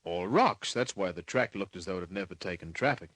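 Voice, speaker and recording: Hardboiled male private eye, Australian, deep and husky, terse wisecracking narration for vintage radio crime serial. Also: lively intonation, a little fast, a bit bright, no echo. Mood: terse, wary. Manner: terse, clipped, slangy delivery. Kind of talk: old-time radio crime drama, first-person narration.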